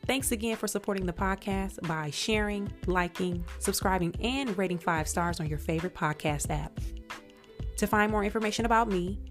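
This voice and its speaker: A woman's voice: mid-range voice, moderate pace, faint music behind. An American woman hosting a podcast, giving casual, opinionated commentary in an emphatic, colloquial way, speaking straight to her listeners. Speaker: podcast host.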